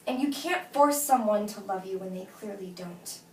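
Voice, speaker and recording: A woman's voice: mid-range voice, lively intonation, moderate pace, darkish tone, lightly acted. An actress playing a blunt, exasperated woman turning down a friend, speaking emphatically in a naturalistic drama read-through. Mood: apologetic, firm. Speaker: actress at a script table read. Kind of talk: naturalistic film drama dialogue read aloud. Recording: roomy echo, distant mic, faint noise.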